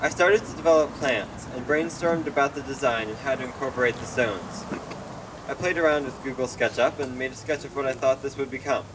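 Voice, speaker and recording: A man's voice: medium pitch, brisk. A teenage American male reading a prepared speech as an Eagle Scout at an outdoor ceremony. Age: teens. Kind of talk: prepared speech read aloud. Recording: distant outdoor mic, clear traffic noise.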